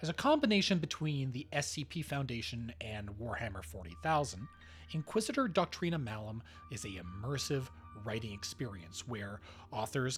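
Male podcast host for scripted announcements, mid-range pitch, light music bed. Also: a bit bright.

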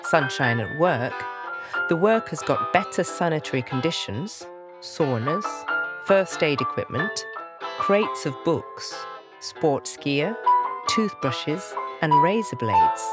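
A woman narrates a scripted audio drama in a documentary style. Her voice is very low in pitch. Prominent guitar music plays behind her. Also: very animated, takes it slowly.

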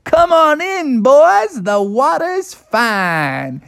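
A man voicing an excitable, reckless young male bear with surfer-dude slang and drawn-out whoops in a children's story. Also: very high voice, vocal fry, pitched above the performer's own voice, full character voice. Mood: giddy excitement.

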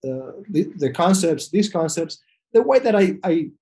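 Middle-aged doctor, higher voice, halting. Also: male voice, very animated, mellow. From El Salvador. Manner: hesitant, many fillers and restarts. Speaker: physician guest. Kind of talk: conversational interview answers.

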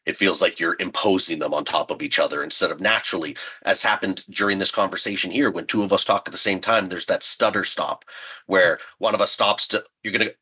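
Male creative guest for casual interview, enthusiastic. Low register, rough husky edge. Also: brisk, pushed and bright. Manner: effusive, enthusiastic. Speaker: audio drama writer and director, podcast guest.

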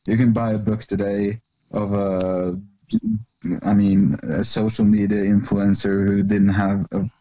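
A man from Norway with a Norwegian accent, a singer-songwriter chatting casually as a podcast guest, rambling and halting with fillers. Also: fairly low pitch, little pitch movement, warm tone, dry recording, highs cut off.

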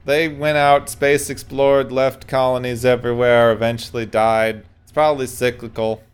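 A man's voice: medium pitch, little pitch movement, normal speed, clean tone. A man co-hosting a casual podcast, riffing with dry jokes and playing the game-show host. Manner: dry, joking riffs.

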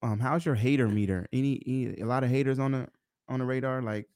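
A man's voice: medium pitch, fast talker, darkish tone, clean tone, no echo. A man hosting a casual interview podcast, slangy and teasing, often laughing. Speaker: podcast host.

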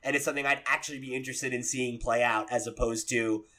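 Bright-voiced young man chatting casually. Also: mid-range voice, steady tone, quick pace. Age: young adult. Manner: frequent "like" and "you know", profane. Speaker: podcast host. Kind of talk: casual chat about games and films.

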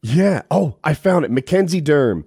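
A man's voice: on the high side, animated, moderate pace, vocal fry, dark tone, husky, dry recording, studio quality. A man casually presenting a clip and chatting as a podcast co-host.

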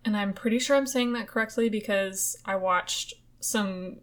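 A young woman hosting a podcast, telling ghost stories in casual chat, swearing freely and chasing quick asides. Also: medium pitch, fairly bright tone.

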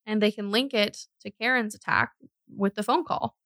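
A woman hosting a true crime podcast, telling the story conversationally with informal, animated asides. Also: mid-range voice, normal speed, dry recording, clean studio sound.

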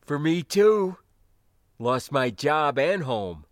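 A man's voice: fairly high pitch, very animated, takes it slowly, no room sound, clean studio sound, voice pushed up. A man voicing a proud old spacer cargo hand in an audiobook story, with folksy, clipped, rambling old-timer talk. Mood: wry, friendly. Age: older.

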